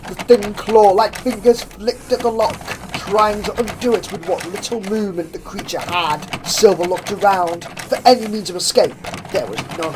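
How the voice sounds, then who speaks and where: A woman with a slightly low voice reads a horror tale aloud. Her delivery is theatrical and suspenseful, stretching out phrases for tension. A clearly audible layer of ambient noise sits behind her. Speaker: fan fiction narrator. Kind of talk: dramatic horror story reading.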